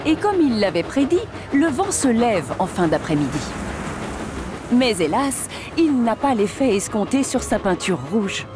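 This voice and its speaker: A woman narrating a French children's cartoon about trains in an expressive storybook style. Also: a little high, a little slow. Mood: storytelling. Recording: music under the voice.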